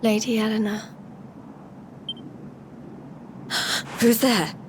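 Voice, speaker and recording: An actress playing an earnest, wistful young noblewoman, gentle and reflective, in a dramatic video game scene. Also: a little high, little pitch movement, unhurried, slight background noise, natural voice. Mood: startled, shy. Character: earnest, wistful young noblewoman, soft-hearted but resolute. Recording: music under the voice. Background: music.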